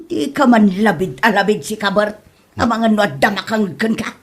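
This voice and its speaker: A woman playing a greedy, combative older mother in a comedy drama, scolding in a shrill voice. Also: normal speed, lightly acted. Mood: scolding, dismissive. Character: hot-tempered greedy older mother feuding over land. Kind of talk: Ilocano comedy drama acting.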